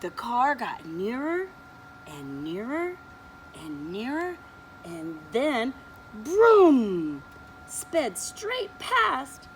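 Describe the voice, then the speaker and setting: Slow, expressive woman reading to kids. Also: on the high side, a little hiss or hum, rough recording. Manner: slow, expressive read-aloud, showing pictures. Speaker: preschool teacher. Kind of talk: reading a picture book aloud to children.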